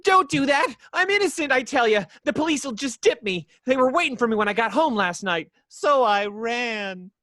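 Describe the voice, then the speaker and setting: A woman's voice: a little high, normal speed, few pauses, no room sound, studio quality. A woman voicing a frantic, pleading, naive male cartoon rabbit in an amateur table read of a film script. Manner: pleading, excitable.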